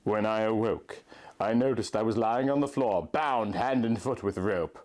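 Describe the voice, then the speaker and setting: A man's voice: lowish voice, moderate pace, a little mellow. A man giving a theatrical, melodramatic reading of a first-person fan fiction story. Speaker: story reader, narrator.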